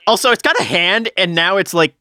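Low-pitched, lively woman co-host. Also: fairly quick, no echo, clean studio sound. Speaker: podcast co-host. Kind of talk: casual reactive chat.

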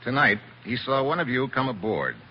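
An actor playing a tough, wry American special agent in a terse 1940s radio drama style. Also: male voice, mid-range voice, big pitch swings, moderate pace, lightly acted. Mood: cool accusing. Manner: hard-boiled, terse, wry. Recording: muffled, hissy 1940s radio recording.

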